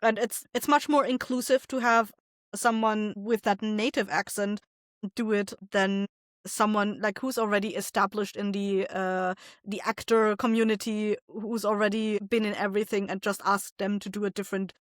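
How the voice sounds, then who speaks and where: A woman's voice: mid-range voice, little pitch movement, moderate pace, no echo, clean studio sound. A woman talking casually as a guest in an interview about her creative work. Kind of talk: casual chat.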